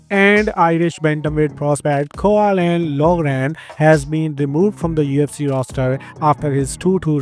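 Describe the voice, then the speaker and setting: Indian-accented male news host, higher voice, brisk and opinionated, faint music underneath. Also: darkish tone. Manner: brisk, opinionated, playful asides. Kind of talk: scripted news narration.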